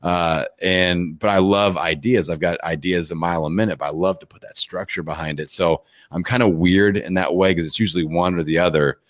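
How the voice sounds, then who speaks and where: A man with a deep voice talking as a guest in a casual interview, energetic and rambling, with many fillers. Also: fairly quick, hardly any pauses, dry recording, studio quality. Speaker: podcast guest, fitness coach. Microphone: video call.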